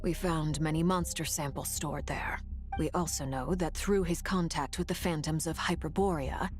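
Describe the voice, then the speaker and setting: A woman voicing a grave, analytical female character in a video game, explaining things in a formal, measured way. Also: sits low, fairly even intonation, faint music behind, lightly acted.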